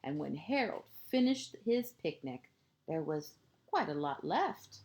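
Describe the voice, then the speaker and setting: A woman reads a children's storybook aloud in the manner of a kindergarten teacher. Her delivery is slow and deliberate, with highly varied intonation. She leaves dramatic pauses and leans on key words for young listeners. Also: sing-song, a little mellow. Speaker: transitional kindergarten educator reading a picture book to pupils.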